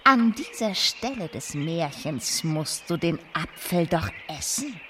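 A woman's voice: sits low, big pitch swings, slow, fairly bright tone, a little hiss or hum, full character voice. An actress playing a sly old wicked queen in a children's radio play, with a wheedling, theatrical manner. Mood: indignant.

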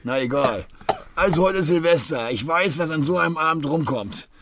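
An actor playing a middle-aged red-light pimp in a radio play, patronising and slangy, jovial but threatening. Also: male voice, fairly high pitch, lively intonation, moderate pace, background noise.

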